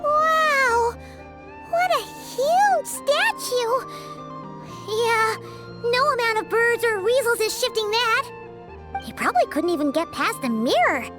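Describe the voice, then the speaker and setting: A woman voicing a tiny floating female companion who is excitable and chatty and speaks of herself in the third person, in a video game cutscene. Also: very high voice, slow, exaggerated voice. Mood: excited, chatty. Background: game background music.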